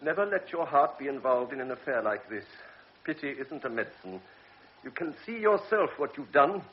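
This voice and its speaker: An actor playing a grave older doctor, speaking in a measured, lecturing way in a radio drama. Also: male voice, medium pitch, sing-song, natural voice. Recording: dull old radio recording with faint hiss.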